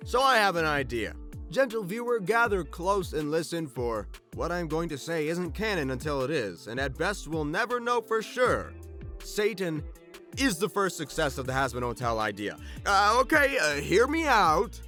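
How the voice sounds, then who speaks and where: Male video narrator, high and bright, wry storyteller with a theatrical streak and joking asides. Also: very animated, normal speed, faint music behind. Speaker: video host.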